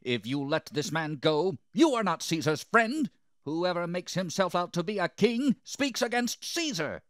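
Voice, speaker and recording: Man doing furious mob priest in a bible drama, yelling accusations, voice jumps way up, swoopy. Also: high-pitched, sing-song, unhurried, dry recording, studio quality, voice pushed up, full character voice. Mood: accusing. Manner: shouting, strident, accusatory. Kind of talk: dramatised scripture reading.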